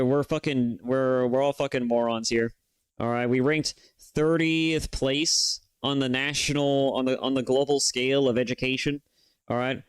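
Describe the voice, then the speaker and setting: A man from the United States rants and reflects in loose, casual commentary. His words come out full of swearing, "you know"s and restarts. His voice is clear and resonant, recorded close and dry with no room sound. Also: medium pitch, no room sound. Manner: opinionated and profane, with many fillers and restarts. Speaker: reaction video host.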